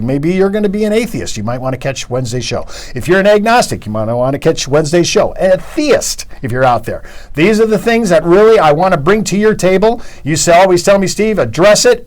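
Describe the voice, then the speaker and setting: High, lively enthusiastic male host. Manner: enthusiastic, rambling, repeats words.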